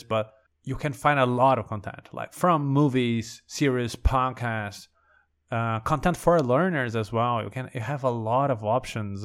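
A man's voice: mid-range voice, fairly bright tone. A man from Brazil with a Brazilian Portuguese accent, hosting a language-learning podcast in a conversational, think-aloud way. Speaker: podcast host.

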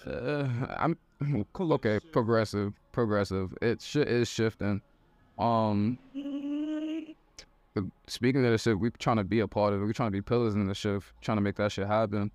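A man's voice: fairly low pitch, fairly even intonation, normal speed, a little mellow, clear, resonant tone, no echo. A young man from the US in a casual group conversation, talking bluntly and loosely with plenty of swearing. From United States. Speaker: member of a creative group.

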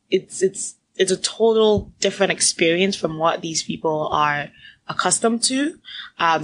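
A young woman from Ghana speaks as a podcast guest in a lower-than-usual voice, telling her story with animated quoted voices. A faint noise sits under the recording. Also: poor audio. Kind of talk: conversational interview.

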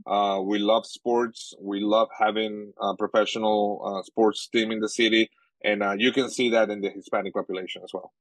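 Venezuelan guy, young adult, native Spanish speaker, low-ish voice, dry close mic, halting and filler-heavy. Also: little pitch movement, dry recording. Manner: halting, many fillers and restarts. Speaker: sports journalist guest. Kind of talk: casual interview answers.

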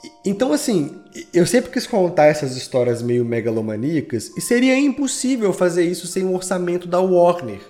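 Brazilian guy on a podcast, excited and rambly, lots of slang, faint music behind. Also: sits high, normal speed. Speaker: podcast guest, audio drama creator and editor. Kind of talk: casual interview chat.

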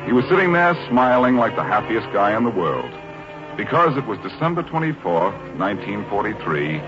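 A man's voice: lowish voice, sing-song, normal speed. A man delivering scripted narration as a storyteller in a 1940s radio drama. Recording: muffled old radio recording, loud music.

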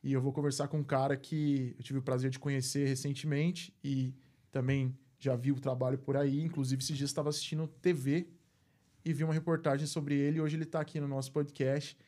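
A man's voice: medium pitch, level tone, fairly quick, mellow. A man hosting a podcast, chatty and upbeat, often tagging sentences with a questioning aside. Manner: chatty, upbeat, frequent "né?" tags. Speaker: podcast host. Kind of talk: casual podcast chat.

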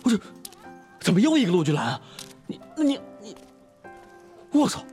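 Brash teenage boy, animated swings in pitch, slangy and teasing, audio drama with faint music. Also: moderate pace. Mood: astonished, teasing. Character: brash, foul-mouthed teenage schoolboy, playful and teasing. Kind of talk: Chinese audio drama acting.

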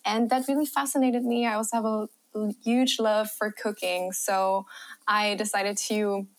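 A young woman with a light German accent speaks as a guest on a podcast, explaining her work as a cycle coach. She is friendly and relaxed, with a middle pitch for a woman. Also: moderate pace. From Thailand and Germany. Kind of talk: podcast interview answers.

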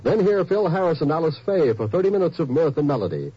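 A man reading network promos in a polished, brisk 1950s announcer style. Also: fairly high pitch, very animated, warm tone, slightly rough, dry recording. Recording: dull old radio transcription.

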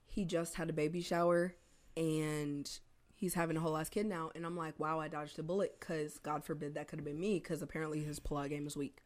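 A woman's voice: low voice, level tone, fairly quick. A woman co-hosting a podcast, chatting casually and bluntly, swearing freely and teasing her friends. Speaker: podcast co-host.

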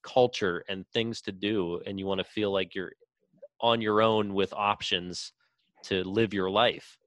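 A man's voice: on the low side, steady tone, measured pace, fairly bright tone, no echo. A young man in a casual podcast debate, speaking as an opinionated co-host who swears freely.

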